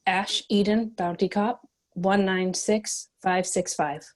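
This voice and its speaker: A woman's voice: medium pitch, steady tone, takes it slowly, close to an everyday voice. A woman playing a stubborn, prickly bounty cop in a screenplay table read, terse and combative. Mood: irritated.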